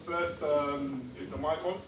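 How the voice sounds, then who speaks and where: A man's voice: fairly high pitch, fairly even intonation, unhurried, heavy background noise. A man accepting an award on stage, earnest and hesitant, with many ums. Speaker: award recipient. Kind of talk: acceptance speech. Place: large hall at a live event. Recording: echoey hall, taken from far off.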